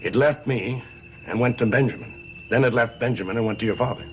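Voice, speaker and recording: A man acts out an aged, secretive grandfather from a gothic television soap. His mid-pitched voice is theatrical and portentous, each phrase measured, with clear dramatic music playing behind him. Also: natural voice. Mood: cryptic. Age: older. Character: cryptic, haunted old grandfather with secrets.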